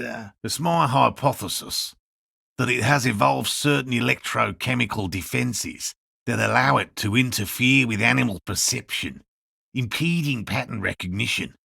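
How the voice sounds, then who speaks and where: Gravelly, earnest old cryptozoologist. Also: male voice, mid-range voice, sharp tone, dry recording, studio quality, full character voice. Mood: earnest, scholarly. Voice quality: gravelly, raspy. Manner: pedantic, formal, earnest. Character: earnest, elderly male cryptozoologist, stubbornly hopeful, scholarly, devoted husband. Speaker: video game character. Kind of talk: video game character dialogue.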